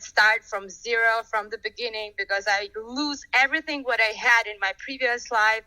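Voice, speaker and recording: A woman from Ukraine speaking simple, with warm repetition, talking outdoors on a windy street over a remote call. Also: a little high, smooth, dry recording, poor audio. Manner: simple non-native English, warm repetitions. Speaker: guest, performer. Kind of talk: casual interview.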